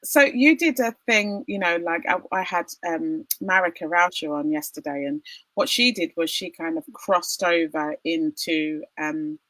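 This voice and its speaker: A woman's voice: fairly low pitch, a little slow, a bit bright, dry recording, poor audio. A woman hosting a casual video-call interview, bubbly and emphatic, often laughing. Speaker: podcast host and opera singer.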